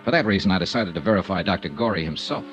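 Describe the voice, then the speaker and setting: Dry, low-voiced radio investigator. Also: male voice, very fast, a bit bright, faint music behind. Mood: dry narration. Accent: American. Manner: clipped, probing, laconic. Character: dry, persistent male freelance insurance investigator. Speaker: lead actor and narrator. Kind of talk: 1950s radio detective drama acting. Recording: dull vintage radio recording.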